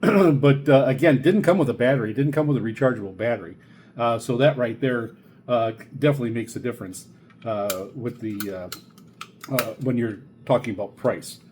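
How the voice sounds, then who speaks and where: An older man giving a casual, unscripted product review, halting and rambling as he repeats words. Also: medium pitch, darkish tone, a little hiss or hum. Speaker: radio reviewer presenting a video series.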